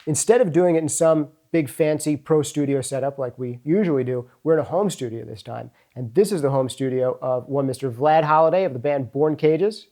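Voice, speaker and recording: Mellow man hosting casually. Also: a little high, a little fast, few pauses. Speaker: interviewer and host. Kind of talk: casual gear-video presenting. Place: home studio.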